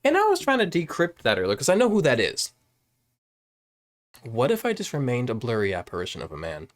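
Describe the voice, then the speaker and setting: A man in his late twenties chatting casually in a vlog, rambling and jumping up in pitch when excited, filmed on a phone at home. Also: a little fast. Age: 20s. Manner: rambling, animated, swings high when excited. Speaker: vlogger. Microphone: phone camera.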